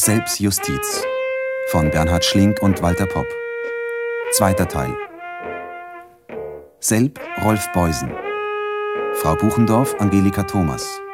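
A man's voice: low voice, lively intonation, slow pace, long pauses. A man formally reading out radio drama credits as an announcer. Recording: loud music behind.

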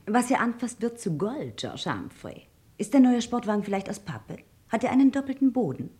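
An actress playing a snobbish young rich daughter in a German radio crime play, pompous and reproachful in precise phrases. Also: female voice, a little high, sing-song, moderate pace, darkish tone, breathy edge, full character voice. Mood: scornful. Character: haughty, snobbish rich daughter, scolding her brother.

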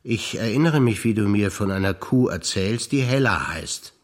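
A man, a stage actor, calmly reciting a series of childhood memories in a theatre performance. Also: lowish voice. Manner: calm, measured recitation.

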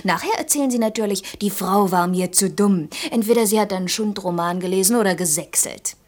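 A woman's voice: medium pitch, fairly quick, darkish tone, close to an everyday voice. An actress with a German accent playing a cheeky, self-assured young woman in a naturalistic radio drama, speaking in a pert, ironic and challenging way. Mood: mocking, teasing.

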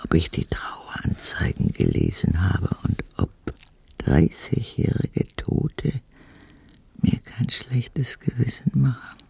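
An actress playing a brooding old woman's whispered inner voice in a radio drama, raspy and very low. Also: huge pitch swings, slow pace, dark tone, no room sound, heavily acted. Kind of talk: radio drama interior monologue.

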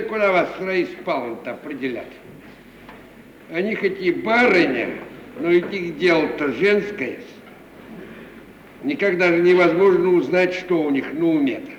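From a roomy, distant 1950 radio recording with hiss comes an old manservant, grumbling in a fairly high voice. He admonishes in blunt, folksy servant speech, like a stage comedy character. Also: muffled recording. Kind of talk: 1950s Russian radio theatre acting.

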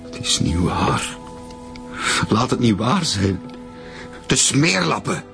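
A man's voice: medium pitch, big pitch swings, slow pace, vocal fry, a bit bright, soft background music, full character voice. A young man playing a bereaved, defensive boyfriend in a naturalistic radio drama. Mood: shocked, bitter. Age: young adult.

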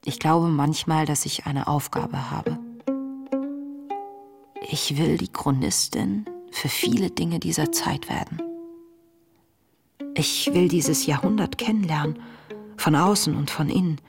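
An actress playing an earnest, introspective young woman reading her wartime diary in a radio play, measured and intimate. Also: deep voice, vocal fry, full character voice. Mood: grave resolve. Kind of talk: radio drama diary monologue. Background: music.

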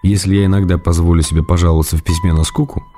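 A man in a radio play voicing an ailing writer who answers an actress's letters with gentle, wry tenderness. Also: sits low, fairly even intonation, racing, faint music behind, close to an everyday voice. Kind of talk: radio drama letter reading.

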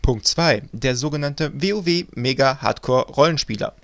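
A man giving a mock-formal, deadpan presentation as a voice actor and game master. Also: medium pitch, moderate pace.

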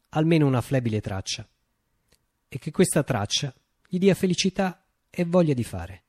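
A man's voice: mid-range voice, darkish tone, no echo, studio quality, natural voice. Man playing a declamatory, swooping revolutionary visionary. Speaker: actor performing a radio play. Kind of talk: theatrical radio monologue.

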